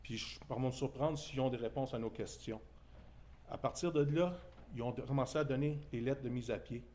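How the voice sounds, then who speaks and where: A man's voice: medium pitch, normal speed, warm tone, slight background noise. A man with a Québécois French accent from Témiscamingue, speaking at a press conference, earnest and indignant about layoffs. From Témiscamingue, Quebec.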